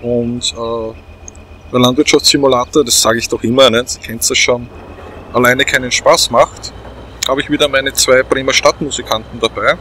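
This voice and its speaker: A man's voice: medium pitch, fairly even intonation, moderate pace, bright, forceful tone, a little hiss or hum. A middle-aged man with an Austrian accent, hosting a let's play in casual, chatty, repetitive phrasing. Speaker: host of a gaming let's play. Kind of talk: casual chat commentary over a game.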